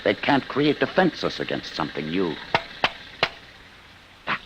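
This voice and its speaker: An actor playing an embittered, obsessed old scientist in a radio drama, grave, intense and defiant. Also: male voice, low-pitched, sing-song, unhurried. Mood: intent, determined. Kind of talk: 1950s American radio drama acting. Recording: noisy, hissy old radio recording.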